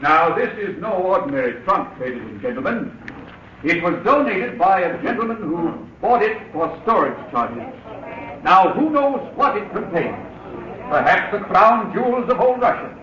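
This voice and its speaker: An actor playing a jolly charity auctioneer with showy sales patter, in 1940s radio acting. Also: male voice, sits high, very animated, moderate pace, sharp tone, heavily acted. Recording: echoey dull old radio recording with crowd.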